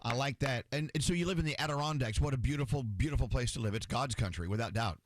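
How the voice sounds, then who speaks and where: A man's voice: medium pitch, no room sound. A man hosting a radio morning show, joking playfully and laughing often with guests and co-hosts. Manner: playful, quick to laugh and joke. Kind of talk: casual on-air banter. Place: radio studio. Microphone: studio microphone.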